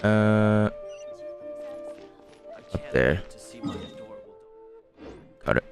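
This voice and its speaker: A man's voice: lowish voice, lively intonation, very slow, vocal fry, over music. A man giving offhand, wry, casual commentary as he plays a video game. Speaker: streamer playing a video game.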